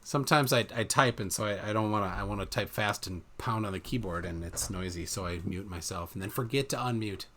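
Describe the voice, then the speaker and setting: A man hosting a casual live-stream chat, talking haltingly with many fillers as he looks for things. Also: on the low side, fairly bright tone, a little hiss or hum. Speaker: host.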